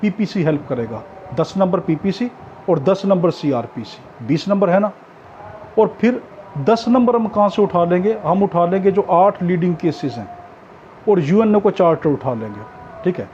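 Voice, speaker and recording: Pakistani male tutor, high-pitched mellow voice, informal instructive lecture delivery. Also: animated, normal speed. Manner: instructive, repeats key points for emphasis. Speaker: law exam tutor. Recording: dull lo-fi audio with background noise.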